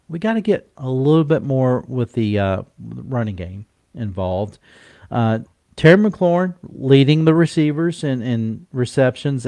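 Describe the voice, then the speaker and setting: A man with a stuffy, congested voice from a cold talks football in a slow, halting way. He fills every pause with fillers and restarts his sentences often. The sound is close and dry, with no room around it. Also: mid-range voice, darkish tone, dry recording. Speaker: podcast co-host. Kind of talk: casual sports chat.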